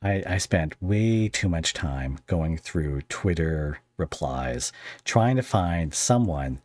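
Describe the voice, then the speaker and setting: A man hosting a casual interview, talking in a rambling, halting and jokey way. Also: deep voice, expressive, a little mellow, dry recording. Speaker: podcast host interviewing a guest.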